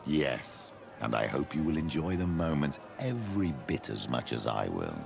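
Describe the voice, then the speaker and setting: A man's voice: rumbling low, sing-song, normal speed, a crowd in the background, heavily acted. An actor playing a sly, ambitious old clergyman burdened by guilt, speaking formally and theatrically in a video game. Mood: gloating. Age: older. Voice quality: gravelly, husky. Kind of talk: video game cutscene acting.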